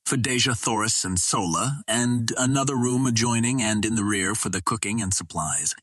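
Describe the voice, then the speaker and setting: Low-voiced man narrating, slightly husky. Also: moderate pace, fairly bright tone, no echo, clean studio sound. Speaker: audiobook narrator. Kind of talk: scripted literary narration.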